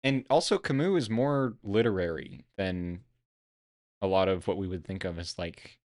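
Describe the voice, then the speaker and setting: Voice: deep voice, normal speed, a little mellow, no room sound, studio quality. A stream co-host in casual analytical chat, thinking aloud in a discursive way.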